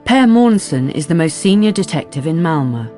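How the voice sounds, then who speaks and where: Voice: mid-range voice, big pitch swings, moderate pace, darkish tone, lightly acted. A narrator telling a crime story in a scripted, composed radio drama style. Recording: music under the narration.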